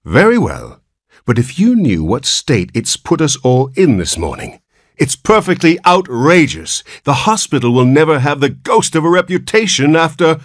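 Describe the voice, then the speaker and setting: A man voicing a stern, lively-pitched hospital nurse woman. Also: measured pace, slightly rough, no echo, clean studio sound, heavily acted. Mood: indignant. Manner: severe, indignant, insistent.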